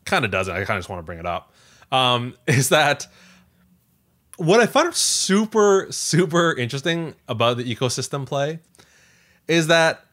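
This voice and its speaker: A man with a bright, forceful voice chats casually as a podcast co-host. His pitch moves around in a lively way, and he leans on words emphatically as he makes his points. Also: normal speed. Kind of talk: casual tech podcast chat.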